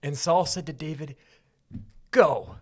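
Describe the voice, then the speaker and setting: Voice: medium pitch, sing-song, moderate pace, slight background noise. A podcast host narrating scripture as a story, mixed with casual banter.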